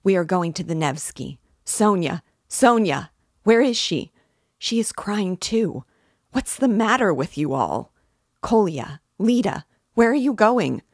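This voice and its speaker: A woman's voice: sits low, steady tone, takes it slowly, no room sound, studio quality. A woman voicing a frantic, ailing widowed mother in an audiobook, crying out and wailing in desperation. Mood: screaming alarm. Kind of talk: dramatic audiobook character reading.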